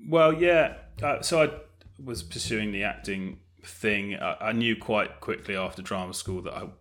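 A man's voice: lowish voice, moderate pace, a bit bright. A man chatting casually as an interview guest, speaking in a hesitant, self-effacing way with trailing phrases. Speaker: guest, audio drama director and producer.

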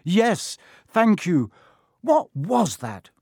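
A man's voice: high-pitched, very animated, very slow, darkish tone, no echo, studio quality, pitched above the performer's own voice, full character voice. A man voicing a curious, bewildered young boy in a storybook, with a plain questioning manner. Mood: shaken. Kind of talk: storybook character voice.

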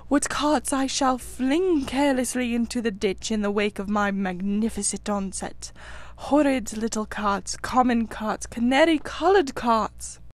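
Woman doing a rapturous, vain toad gentleman. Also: fairly high pitch, close to an everyday voice. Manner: rhapsodic, in a dreamy monotone at times. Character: vain motor-mad male toad, grand and rapturous.

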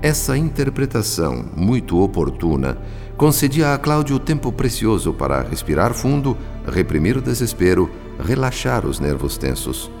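A man's voice: on the low side, big pitch swings, moderate pace, vocal fry. A man with a Brazilian accent playing a solemn spirit who narrates a radio drama in a theatrical, literary manner. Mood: solemn, dramatic. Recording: long reverb over soft music. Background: soft music.

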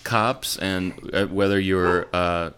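A man's voice: low-pitched, fairly even intonation, slow, a bit bright, a little hiss or hum. A man hosting a podcast in casual chat, blunt and joking in the way he talks. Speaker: podcast host.

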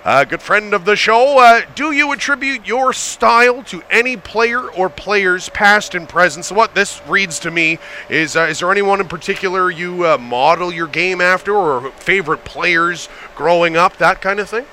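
Bright high-voiced male podcast host. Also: normal speed, hardly any pauses, slight background noise. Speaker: interview host. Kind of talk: podcast interview reading listener questions.

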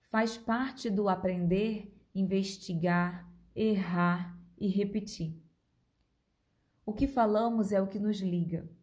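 A woman with a Brazilian Portuguese accent, narrating a scripted educational podcast as a language teacher, phrasing deliberately for learners. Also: mid-range voice, mellow.

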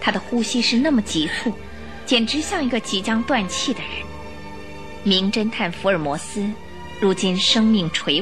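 A woman narrating a scripted radio drama in an expressive storytelling style. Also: a little high. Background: music.

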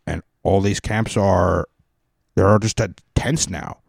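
A man's voice: deep voice, slow, creaky, no room sound, studio quality, full character voice. A man voicing a rambling male caller with a Californian accent, meandering and full of fillers, in a comic podcast bit.

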